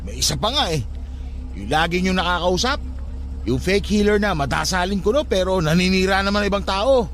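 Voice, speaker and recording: Radio drama nephew: high voice, very animated, sarcastic and argumentative. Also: male voice, a little fast. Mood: defiant, annoyed. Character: irritable, blunt skeptical nephew, a grown man. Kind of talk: Tagalog radio drama acting.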